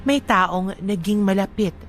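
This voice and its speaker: A woman's voice: medium pitch, measured pace, soft background music, close to an everyday voice. A woman voicing a grieving but resolute widow, narrating her letter in a heartfelt radio drama style. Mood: reflective.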